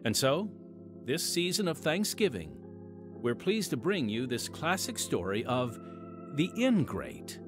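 A man's voice: on the high side, sing-song, a little slow. A man hosting a podcast, giving a scripted introduction and speaking directly to listeners. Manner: addresses listeners directly as friends. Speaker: podcast host and announcer. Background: music.

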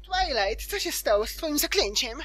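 A woman's voice: sits high, lively intonation, normal speed, fairly bright tone. A woman voicing a dramatic, squealing female pony in an amateur cartoon fan dub. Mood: puzzled.

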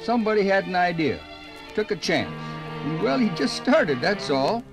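A man's voice: high-pitched, sing-song, normal speed, gravelly, exaggerated voice. An actor playing a folksy, rambling older small-town man, narrating a 1950s radio program. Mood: folksy, musing. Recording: 1950s radio record, music behind.

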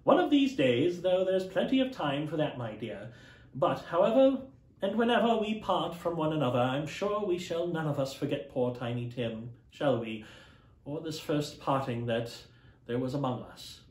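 A man voicing a gentle, grieving working father who speaks earnestly and tenderly. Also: a little high, expressive, normal speed, close to an everyday voice. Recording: roomy echo.

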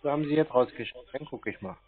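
A man's voice: mid-range voice, fast. A man in a casual phone conversation, patient and matter-of-fact, spelling things out. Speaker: prank caller posing as a customer to phone scammers. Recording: narrow tinny phone-like line.

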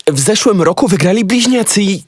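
A performer playing a knowing, cautious boy at a space academy in a children's audio drama, with a husky voice. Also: mid-range voice, expressive, fairly quick, no echo, clean studio sound. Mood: confiding.

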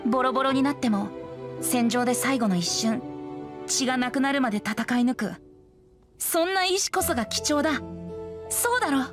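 A woman's voice: sits high, expressive, moderate pace, long pauses, over music. A woman voicing a breezy, poetic young male bard in an anime game, lilting and persuasive.

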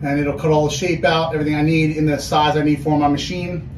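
Male mill guide, high pitch, informal walking-tour delivery, noisy machinery hum behind. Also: little pitch movement, fast talker. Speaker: mill worker giving a tour. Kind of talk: casual explanatory walking tour. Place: large woodworking mill and lumber warehouse.

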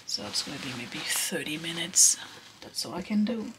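A woman vlogger chatting casually about her quilting at home, soft-spoken and sometimes dropping to a whisper. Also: sits low, animated, moderate pace. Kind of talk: casual vlog narration. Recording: noisy home recording.

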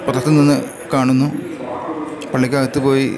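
A man with a Malayali accent narrating a travel vlog slowly and in measured, short separated phrases. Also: medium pitch, monotone, a crowd in the background. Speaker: vlogger. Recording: loud music over the voice. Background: loud music.

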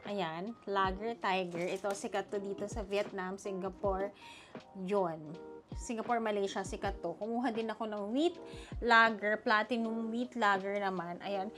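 A young adult woman from the Philippines, a travel vlogger talking through her trip budget in a chatty, animated way. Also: mid-range voice, measured pace, soft background music. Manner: chatty, animated, mixing Tagalog and English. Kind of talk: casual vlog chat with budget talk.

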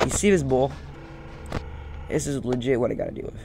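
A man's voice: medium pitch, fairly quick. A young man giving casual gameplay commentary, rambling and muttering as he talks to the game's characters. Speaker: gaming streamer. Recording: loud game music behind voice.

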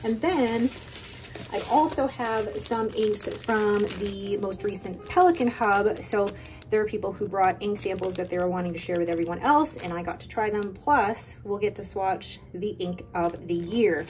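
A woman's voice: mid-range voice, moderate pace, a little mellow, a little hiss or hum. Chatty woman narrating an ink swatch. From Filipino heritage. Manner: chatty, enthusiastic, rambling asides. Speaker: stationery channel host. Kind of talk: casual voiceover while swatching inks. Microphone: voice-over recorded separately.

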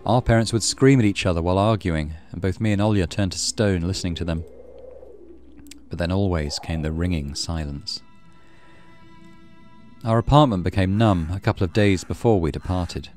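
A man's voice: deep voice, normal speed, a little mellow, soft background music. A man hosting a let's play, reading a horror visual novel aloud with dramatic storytelling and muttered asides. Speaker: gaming channel host.

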